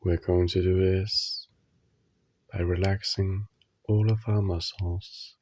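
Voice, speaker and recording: Slow, low-voiced man guiding sleep meditation. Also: level tone, darkish tone, a little hiss or hum. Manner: slow, soothing, instructional, with long pauses between short phrases. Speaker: guided meditation leader.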